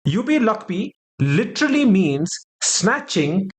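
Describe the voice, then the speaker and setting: A man from Kerala, India, hosting a trivia podcast and reading out quiz questions in a measured way. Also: high-pitched, big pitch swings. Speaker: podcast host.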